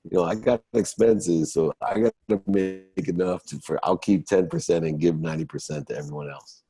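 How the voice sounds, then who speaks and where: A man's voice: sits low, moderate pace, dark tone, breathy edge, no room sound, faint music behind, poor audio. A man of fifty giving earnest, emphatic motivational answers as an interview guest. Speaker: guest, motivational entrepreneur and speaker.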